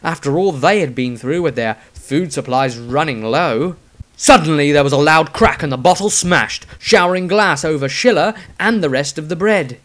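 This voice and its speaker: A man's voice: a little high, expressive, normal speed, a bit bright. A man reading scripted fantasy narration as a storyteller, in a solemn, theatrical manner. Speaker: author narrating own story.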